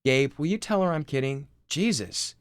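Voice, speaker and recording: A man's voice: on the high side, expressive, normal speed, smooth, no echo, studio quality, lightly acted. A man voicing a brash, self-obsessed father in his forties, boastful and exasperated, in a screenplay reading.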